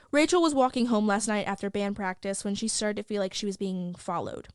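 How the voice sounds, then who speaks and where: A woman's voice: mid-range voice, no room sound, clean studio sound, close to an everyday voice. A woman playing a gossipy small-town after-school news reporter, narrating in a conversational, wry and inquisitive way. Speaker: reporter and host. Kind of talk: scripted fictional news narration.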